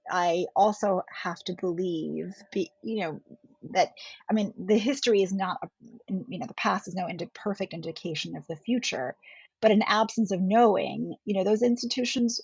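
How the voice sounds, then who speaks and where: A middle-aged woman whose first language is German, speaking as a political scientist guest on a podcast in a thoughtful, measured way. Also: medium pitch, a little mellow, breathy edge, dry recording. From India. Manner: thoughtful, measured, often rephrasing. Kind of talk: podcast interview. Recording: dull lo-fi podcast audio.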